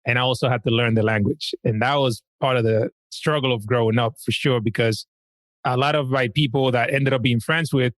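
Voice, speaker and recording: A man from the Dominican Republic, a guest on a podcast, telling his story in an easygoing, warm way. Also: medium pitch, steady tone, brisk, no room sound. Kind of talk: casual interview chat.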